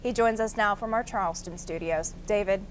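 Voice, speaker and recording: A woman's voice: medium pitch, a little fast, slight background noise. An American woman reading scripted news as a television anchor.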